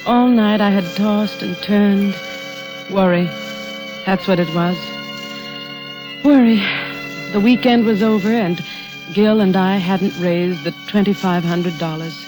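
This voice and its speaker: An actress playing a worried, suspicious wife who runs a failing ranch, in 1940s radio drama style with narration and questioning dialogue. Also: female voice, mid-range voice, fairly even intonation, takes it slowly, long pauses, close to an everyday voice, poor audio. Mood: brooding. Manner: brooding, questioning. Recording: vintage radio broadcast with music.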